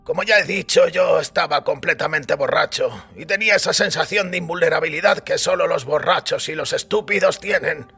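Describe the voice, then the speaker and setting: An actor playing a weary older priest who recounts a wild youth in a wry, reflective way, with a raspy voice. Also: male voice, fairly high pitch, fairly quick, few pauses, bright, forceful tone, exaggerated voice. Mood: wry, reminiscing. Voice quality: raspy, gravelly. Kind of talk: dramatised audiobook acting. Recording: music bed under the voice.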